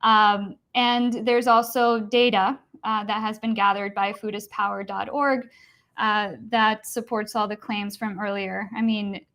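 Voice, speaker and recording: A woman's voice: medium pitch, a bit bright. A woman from Costa Rica arguing the vegan side in an online debate, explaining earnestly with frequent fillers.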